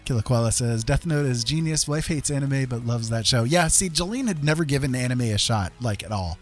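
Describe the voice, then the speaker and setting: A man's voice: medium pitch, animated, a little fast. Middle-aged man streaming over music. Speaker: livestream host. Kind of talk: casual livestream chat.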